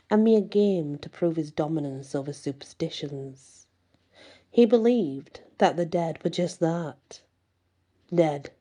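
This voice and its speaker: Woman doing asmr horror narration, really dark soft tone, gravelly and low, hushed and dramatic. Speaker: storyteller, channel host.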